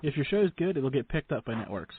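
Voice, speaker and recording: A man's voice: mid-range voice, very animated, quick pace, husky. A man hosting a casual radio interview, chatty and informal with colloquial phrasing. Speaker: paranormal radio show and podcast host. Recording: dull, band-limited radio call audio.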